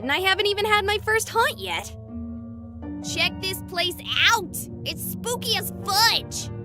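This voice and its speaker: A woman playing a goofy, excitable young livestreamer in a visual novel, chatting playfully with exaggerated enthusiasm. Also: high voice, heavily acted. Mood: excited. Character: excitable, goofy young female livestreamer exploring haunted places.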